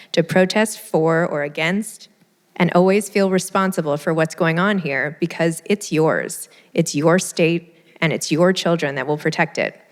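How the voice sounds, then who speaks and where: A woman moderating a live book event, speaking in an earnest, conversational way with a slightly low voice. Her words ring out with long reverb from a large event hall. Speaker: host and moderator. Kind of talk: live panel event, reading an essay aloud.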